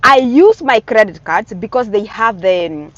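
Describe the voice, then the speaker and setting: Kenyan lady vlogging advice, kinda high voice, dry muffled sound, says things twice. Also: expressive, unhurried, no echo. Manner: earnest, repeats herself, emphatic warnings. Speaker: vlogger giving advice to newcomers. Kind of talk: casual advice vlog.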